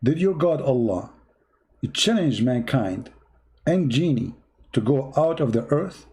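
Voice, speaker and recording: A man's voice: medium pitch, lively intonation, measured pace, darkish tone. A man whose first language is Arabic hosts a heated debate, mocking and pressing a caller with repeated questions. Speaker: debate show host. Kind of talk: heated live debate call.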